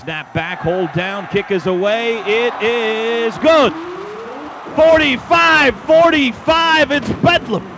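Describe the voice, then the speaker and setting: An American man calling live football on radio play-by-play, excitable, building drama in short punchy phrases. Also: very high-pitched, slow. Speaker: play-by-play announcer. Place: stadium radio booth. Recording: dull noisy stadium radio broadcast.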